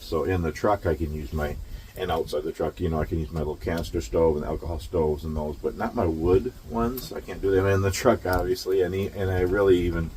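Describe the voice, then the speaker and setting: A man's voice: extremely low pitch, a little mellow, a little hiss or hum. A man in his late fifties, a truck driver chatting casually and rambling easygoingly while sitting outdoors under a shelter in the rain, recorded on his phone. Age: 50s. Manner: rambling, easygoing, chuckles often. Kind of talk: casual outdoor vlog chat. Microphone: phone camera.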